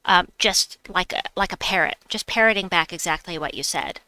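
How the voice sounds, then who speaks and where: A woman's voice: lowish voice, moderate pace, bright, forceful tone, clear, resonant tone, no room sound, rough recording. A woman with a slight New York accent, streaming a game and chatting with her viewers as she plays. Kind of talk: casual live-stream chat while gaming.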